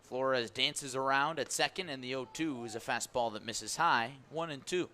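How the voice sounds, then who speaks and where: A man's voice: medium pitch, normal speed, pushed and bright. A man calling baseball play-by-play live on the radio in short, clipped, vivid phrases. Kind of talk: live radio sports commentary. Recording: live ballpark broadcast with background noise.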